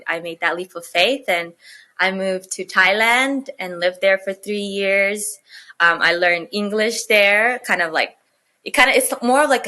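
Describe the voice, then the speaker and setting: Bright-voiced woman, chatty. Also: mid-range voice. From Kazakhstan. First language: Russian. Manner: chatty, full of "like" and "you know" fillers. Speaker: guest rapper. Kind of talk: casual interview storytelling.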